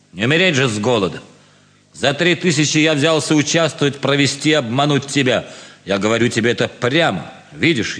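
An actor playing a ruined gentleman turned swindler in a classic stage comedy, brazenly justifying himself with theatrical, reasoning delivery. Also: mid-range voice. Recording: reverberant theatre hall.

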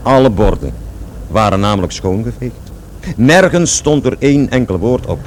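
A man's voice: mid-range voice, animated, moderate pace, slight background noise, close to an everyday voice. An actor playing a defiant young man who recalls his schooldays and his father in colloquial, scornful slang for a radio drama. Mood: indignant, defiant. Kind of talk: radio drama acting, animated storytelling.

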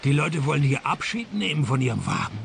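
Knarziger, trockener Privatdetektiv. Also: male voice, fairly high pitch, a little fast, gravelly, slight background noise, exaggerated voice. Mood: dry questioning. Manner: dry, sardonic, deadpan. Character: wry, deadpan private detective narrating his own cases. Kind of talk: radio play detective narration and dialogue.

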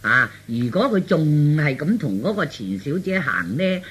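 A man's voice: fairly high pitch, sing-song, unhurried, fairly bright tone, breathy edge, heavily acted. A man voicing a meddling middle-aged aunt in Cantonese radio storytelling, earnest, insistent and colloquial. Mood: earnest persuasion. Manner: insistent, colloquial, full of rhetorical tags.

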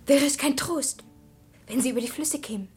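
Fairly high, musing child. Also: female voice, normal speed, darkish tone, husky, faint music behind. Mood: musing, eager. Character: musing, eager child of the village. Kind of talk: poetic radio drama acting.

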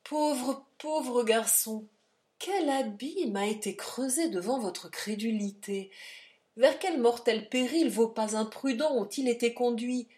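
A woman's voice: fairly high pitch, measured pace, voice pushed up, lightly acted. A woman voicing a commanding old doctor. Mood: lecturing. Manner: forceful, lecturing, emphatic.

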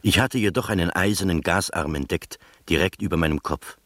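An actor playing an observant first-person storyteller, narrating a literary radio drama in measured fashion. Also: male voice, sits low, a bit bright, dry recording, clean studio sound.

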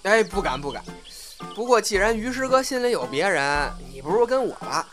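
A man acts out a young troupe newcomer in an audio drama. He is polite yet playful and quick to tease, with a lively, varied pitch over faint music. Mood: teasing.

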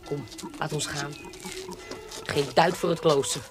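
A woman's voice: sits low, fairly even intonation, unhurried, over music. An actress playing a determined, shrewd woman with a commanding manner in a period audio drama. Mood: affectionate, decisive. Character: determined, shrewd woman posing as a baroness, protective aunt.

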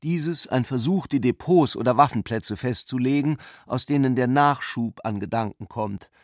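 A man's voice: mid-range voice, moderate pace, no echo, studio quality. A man reading literary prose and its dialogue as the narrator of a radio play.

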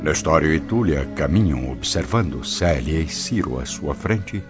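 A man's voice: a bass voice, lively intonation, measured pace. A man giving scripted, dramatic narration for a radio drama. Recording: dull lo-fi audio. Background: prominent background music.

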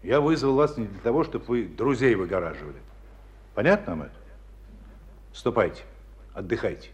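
Actor playing a stern military commander. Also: male voice, on the low side, animated, close to an everyday voice. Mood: stern, commanding. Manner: curt, commanding, measured. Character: stern male military school commander, exacting and authoritative. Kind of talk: Russian radio drama acting.

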